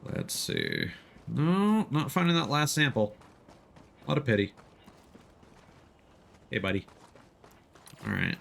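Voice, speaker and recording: A man's voice: mid-range voice, wildly swooping pitch, slow, gravelly, pauses often, fairly bright tone. A man giving casual live game commentary, thinking aloud and explaining things as he plays. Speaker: streamer, gamer.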